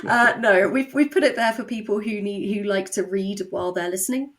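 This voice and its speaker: A woman talking conversationally as an interview guest, telling anecdotes with quoted asides and a creaky edge. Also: medium pitch, fairly quick. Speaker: guest, audio drama writer and co-creator.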